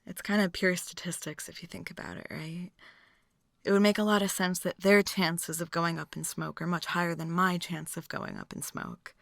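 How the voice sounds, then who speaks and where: A woman's voice: on the low side, fairly even intonation, normal speed, creaky, no echo, studio quality. A woman acting a chatty, philosophically morbid date in an intimate ASMR roleplay, musing and teasing as she rambles to the listener. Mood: dry, bemused.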